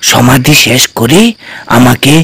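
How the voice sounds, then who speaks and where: A man's voice: medium pitch, unhurried, gravelly, a bit bright, husky, heavily acted. A man playing a wicked, haughty tantrik guru who speaks commandingly in a fairy-tale cartoon. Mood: stern, grudging. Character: wicked, imperious male tantrik sorcerer guru.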